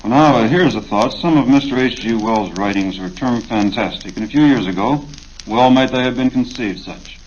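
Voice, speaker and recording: A man moderating a radio interview in a formal, deliberate manner, on an old recording. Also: mid-range voice, dull audio, a little hiss or hum.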